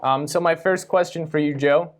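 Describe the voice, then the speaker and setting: A man's voice: fairly high pitch, fairly even intonation, moderate pace. A young man, a university student, moderating a Q&A, politely laying out how the session will work and asking questions. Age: young adult.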